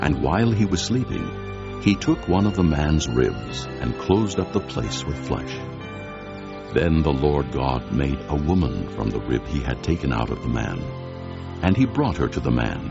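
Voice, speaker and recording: Bible narrator, male: extremely deep and gravelly, solemn with wide intonation, music underneath. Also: very animated. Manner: solemn, measured storytelling. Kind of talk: dramatized scripture narration. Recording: dull lo-fi audio with music behind.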